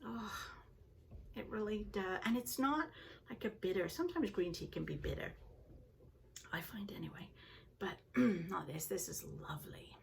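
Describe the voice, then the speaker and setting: An older woman from England with a softened Liverpool accent, hosting a knitting podcast from home and chatting casually with the viewer. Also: medium pitch, animated, moderate pace, gravelly, pauses often, a little hiss or hum. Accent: Canadian-influenced English, originally from Liverpool. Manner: chatty, rambling, self-deprecating. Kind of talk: casual chat to camera. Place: home, seated indoors.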